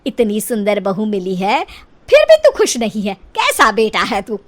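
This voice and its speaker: A woman's voice: mid-range voice, huge pitch swings, a little slow. A woman voicing a proud, doting middle-aged mother-in-law in a cartoon, chatty, coaxing and boastful. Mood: boastful, scolding.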